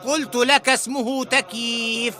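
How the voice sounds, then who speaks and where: A boastful cartoon know-it-all, sure of himself at every turn. The voice is high and bright, and he rattles out emphatic lines very fast. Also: little pitch movement, soft background music. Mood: insistent. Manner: boastful, emphatic, self-assured. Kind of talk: cartoon voice acting.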